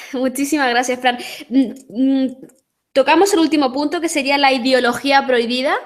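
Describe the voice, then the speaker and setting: High-voiced Spanish woman hosting an interview. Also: fairly even intonation, moderate pace. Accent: Spain Spanish. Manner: attentive, encouraging, interjects with short replies. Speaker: host.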